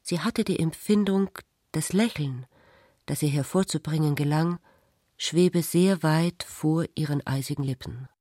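An actress narrating a literary radio drama as a troubled, wounded woman, measured and introspective. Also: low voice, dark tone, dry recording, studio quality. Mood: sorrowful, introspective.

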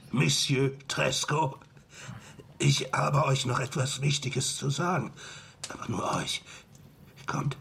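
A bitter Western villain with a low, gravelly voice. He drags his words out very slowly and haltingly, sinister and broken, in a radio drama with music faintly behind. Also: a bit bright, a little hiss or hum, heavily acted. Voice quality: gravelly, breathy at times. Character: bitter dying villain, mocking, secretly remorseful father.

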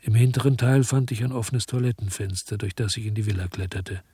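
An actor playing a weary, hard-boiled investigator in a radio drama, with terse, laconic delivery and a husky voice. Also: male voice, on the low side, fairly quick, warm tone, lightly acted. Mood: grim, reflective. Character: weary, hard-boiled male investigator telling his story. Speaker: first-person narrator and lead actor. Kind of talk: German radio drama narration and dialogue.

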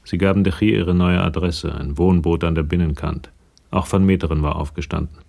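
A man's voice: low-pitched, steady tone, fairly quick, vocal fry, a little mellow. A man narrating a police crime radio drama from a script. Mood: measured.

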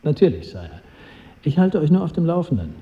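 Courtly adviser, cynical and polite, swooping intonation, slightly dull radio drama sound. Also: male voice, a little high, big pitch swings, brisk. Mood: dry, gossipy. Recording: dull sound with hall reverb.

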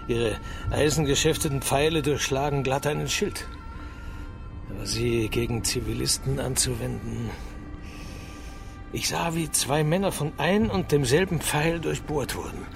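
An actor playing an exhausted, grim military officer of ancient China, reporting gravely in a radio drama. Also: male voice, medium pitch, a little slow. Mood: grim, shaken. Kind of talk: radio crime drama acting. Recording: music behind the voice.

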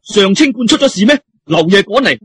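A man's voice: high voice, animated, fairly quick, a bit bright, breathy edge, dry recording, studio quality, voice pushed up, exaggerated voice. A man with a Cantonese accent playing a courteous, startled swordsman in an audiobook, asking earnest questions. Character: startled, courteous middle-aged male swordsman.

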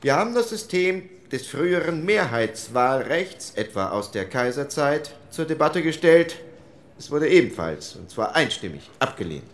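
An actor playing a sober post-war German parliamentarian who reports methodically and formally in a dramatized reading of minutes. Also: male voice, fairly high pitch, very animated, normal speed. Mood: methodical, matter-of-fact.

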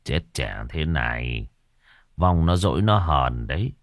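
Guy doing a gloomy boatman, grave and resigned, dropping low. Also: very animated, a little slow, dry recording, studio quality, heavily acted. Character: brooding, superstitious boatman.

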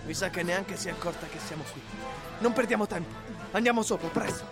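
A man's voice: high voice, a little fast. A man acting a desperate rebel on the run in a radio drama, giving urgent commands. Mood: frantic. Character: desperate young rebel fleeing a massacre. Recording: music behind the voice.

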